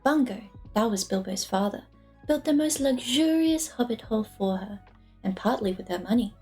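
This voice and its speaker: A woman's voice: mid-range voice, warm tone. A woman reading a novel aloud as storybook narration. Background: lofi music.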